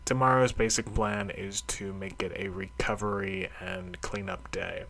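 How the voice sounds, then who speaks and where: A man's voice: low-pitched, fairly even intonation, unhurried, creaky, a bit bright, a little hiss or hum. A middle-aged man talking casually in a solo audio diary, reflective and rambling, with a self-deprecating streak. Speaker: karate practitioner keeping a training log.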